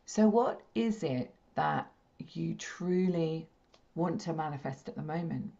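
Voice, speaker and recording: A woman with a slightly croaky, hoarse throat, leading a spiritual healing session in a gentle, repetitive, soothing way. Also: low-pitched, animated, a little slow. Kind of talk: slow meditative teaching talk. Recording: dull lo-fi stream audio.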